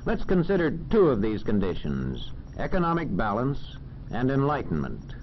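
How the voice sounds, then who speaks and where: An American man narrating a 1940s educational film in a formal, measured, didactic manner. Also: mid-range voice, huge pitch swings. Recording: dull, faintly noisy old film soundtrack.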